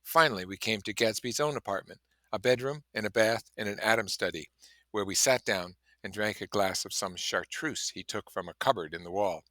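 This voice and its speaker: A man's voice: lowish voice, brisk, sharp tone, dry recording. A man reading a novel aloud expressively, with casual explanatory asides. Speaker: reader and commentator.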